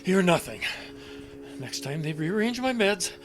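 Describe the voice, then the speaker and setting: A man's voice: high-pitched, sing-song, unhurried, over music. An actor playing a forgetful, stubborn elderly father in an audio drama, with a folksy, stammering, muttering manner. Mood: defensive.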